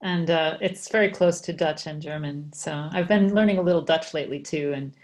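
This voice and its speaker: A woman's voice: low voice, a little fast, a little mellow. A woman whose first language is English, chatting enthusiastically on a video call. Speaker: participant.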